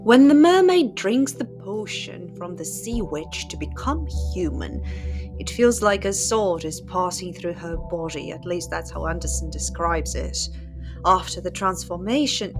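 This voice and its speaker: A woman hosting a channel, telling and commenting on stories in a casual, opinionated, sardonic and conversational way. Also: lowish voice. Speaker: host of a book channel. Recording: music playing behind the voice. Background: instrumental music.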